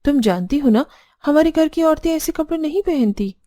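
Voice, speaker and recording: A woman's voice: high-pitched, animated, moderate pace, mellow, no room sound, clean studio sound. A woman voicing aggrieved, pleading young women of a household in a Hindi cartoon family drama. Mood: indignant. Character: young daughters-in-law and pampered daughter, aggrieved, pleading.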